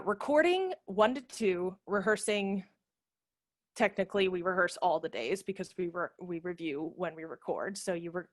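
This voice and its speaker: A woman's voice: fairly low pitch, a bit bright, dry recording. Woman in her thirties, close dry headset. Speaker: theatre director and workshop presenter. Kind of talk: informal instructional video-call talk. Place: home room with brick wall, video call. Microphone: headset microphone.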